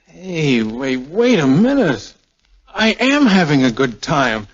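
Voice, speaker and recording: A man's voice: fairly high pitch, sing-song, takes it slowly, heavily acted. An American man playing a tender, brave army major keeping bad news to himself, in 1940s radio drama style. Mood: tender, reassuring. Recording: muffled vintage 1940s radio recording.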